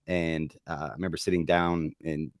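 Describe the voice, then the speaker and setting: Casual sports podcast host, male, deep and hoarse, quick rambling delivery. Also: little pitch movement, dry recording, clean studio sound. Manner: rambling, chatty with filler words. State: losing his voice.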